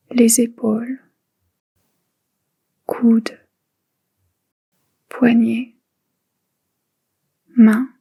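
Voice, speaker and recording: A woman guiding a relaxation meditation in a breathy, whispery voice, soothing and hushed, in short spaced phrases. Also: a little high. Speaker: guided meditation instructor. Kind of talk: guided relaxation meditation, soft and unhurried.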